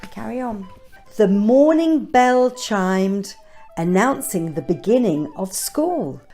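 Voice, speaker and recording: A woman's voice: mid-range voice, lively intonation, slow pace, mellow, soft background music. A woman reading a children's story aloud, expressively, with chatty asides and questions to young listeners.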